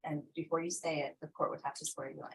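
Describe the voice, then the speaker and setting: A woman's voice: low-pitched, level tone, quick pace, breathy edge, low-quality recording. An American woman working as a defense attorney, questioning formally in a courtroom.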